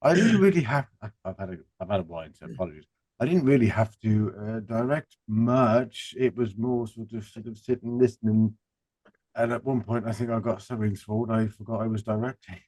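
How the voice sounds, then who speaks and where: A man chatting casually as a drama director, halting in his phrasing and dryly jokey. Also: lowish voice, darkish tone, dry recording. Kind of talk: casual chat.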